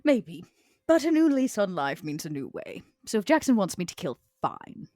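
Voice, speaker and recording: A woman's voice: medium pitch, sing-song, normal speed, a little mellow, no room sound, close to an everyday voice. A woman playing a proud, scornful old vampire in a tabletop roleplay, with haughty, theatrical, formal phrasing. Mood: proud, defiant. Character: proud, scornful old female vampire named Vera, elegant and ambitious.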